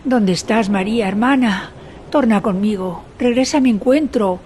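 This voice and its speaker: Radio drama lead: a woman as a mournful, regal queen in exile, formal and lamenting, medium pitch, noise behind. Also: moderate pace, a little mellow, lightly acted. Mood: nostalgic, yearning. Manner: solemn, literary, lamenting. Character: sorrowful exiled queen recalling her youth, dignified. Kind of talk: radio drama monologue, old radionovela style.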